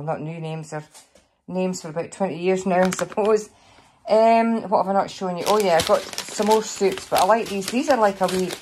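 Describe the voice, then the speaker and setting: A middle-aged Scottish woman chatting casually over a grocery haul in her home kitchen, rambling a little, slightly hoarse. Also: on the low side, background noise. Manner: chatty, rambling, with fillers and small laughs. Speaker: vlogger showing a grocery haul. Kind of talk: casual chat to camera. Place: kitchen counter at home, indoors.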